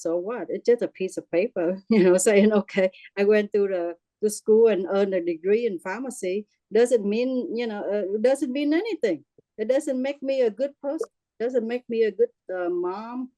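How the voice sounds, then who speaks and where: A middle-aged woman from Vietnam with a Vietnamese accent, sharing her experience in a halting way with many fillers and restarts. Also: mid-range voice, mellow, no room sound, low-quality recording. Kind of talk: casual personal sharing.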